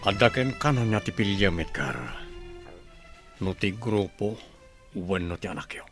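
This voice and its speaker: A man portrays a stern rebel commander in an Ilocano-accented radio drama. His lowish male voice swings widely in pitch, with faint music underneath. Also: lively intonation, measured pace.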